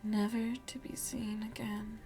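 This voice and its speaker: A woman playing a seductive, motherly vampire in an ASMR roleplay, speaking in a hushed, breathy, coaxing way with quiet menace, close to the mic. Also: medium pitch, completely flat delivery, measured pace, music in the background, lightly acted, poor audio. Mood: ominous, intriguing. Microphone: close microphone.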